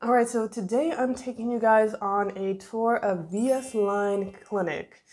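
A woman's voice: a little high, a little slow, a little mellow. A young woman vlogger chatting to the camera indoors, slightly congested and recovering her voice, talking directly to her viewers. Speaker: beauty vlogger. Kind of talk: casual vlog tour narration.

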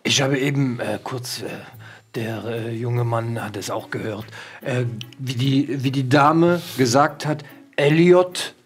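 A man voicing a polite, inquisitive and insistent doctor, formal and halting, in a tabletop audio drama. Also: medium pitch, lightly acted. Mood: insistent, questioning.

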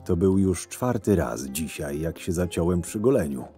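A man acts as a scheming, cynical henchman telling his story in an audio drama. His voice is deep and mellow, his delivery measured and sardonic. Music plays softly underneath. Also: animated. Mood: dry, brooding. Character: cynical, scheming male camp henchman recounting his days. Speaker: narrator and lead actor of an audio drama. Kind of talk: first-person scripted narration. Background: background music.

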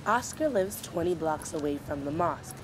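Low-voiced woman news reporter. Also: lively intonation, normal speed, background noise. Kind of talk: scripted news narration.

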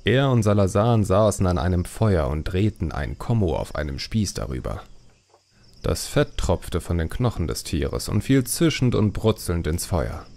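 A man's voice: sits low, big pitch swings, normal speed, a little mellow. A man reading a fantasy audiobook as scripted dramatic narration.

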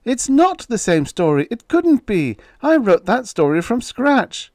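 A man voicing an arrogant male writer who turns shrill and panicky. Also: lively intonation, normal speed, dry recording, clean studio sound, lightly acted. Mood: shrieking. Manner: high, shrieking, desperate.

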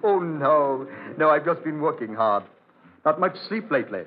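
An actor playing a genial but evasive, weary country doctor with a halting way of talking, in 1940s radio drama style. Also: male voice, a little high, lively intonation, natural voice. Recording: tinny, noisy old radio recording.

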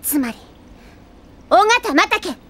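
A woman's voice: extremely high pitch, big pitch swings, slow, fairly bright tone, full character voice. A woman playing a bossy, self-important teenage girl detective in an anime audio drama, commanding and self-assured. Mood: accusing, triumphant.

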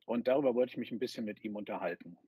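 A man's voice: fairly low pitch, rapid-fire, slightly rough, no echo, narrow, tinny audio. A man in his fifties interviewing a guest in a conversational way over a video call. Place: bright indoor room.

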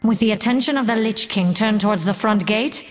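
A woman's voice: medium pitch, faint music behind, natural voice. A woman as a commander in long reverb. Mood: resolute, grave. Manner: measured, formal, commanding. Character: resolute female commander briefing her champions. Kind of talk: video game quest dialogue.

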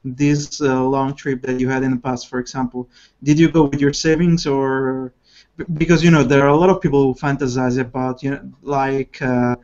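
A man's voice: mid-range voice, little pitch movement, normal speed, darkish tone. A man in his thirties, co-hosting from a small kitchen over a video call, asking long, wordy questions filled with fillers. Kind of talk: casual video call interview. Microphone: laptop webcam on video call. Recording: dull lo-fi video call audio.

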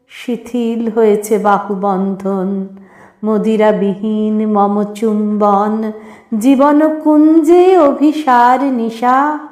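Woman, poetry reciter, measured declamation, very drawn-out phrases, reverberant sound. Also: fairly high pitch, a little mellow. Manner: unhurried, phrase-by-phrase declamation with pauses. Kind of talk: expressive, measured poem recitation.

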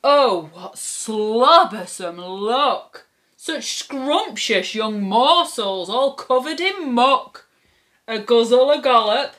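A woman's voice: on the high side, a bit bright. A woman voicing a greedy, hungry troll in a rhyming children's storybook, grunting and relishing each word. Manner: gobbling, relishing, grunting and growling.

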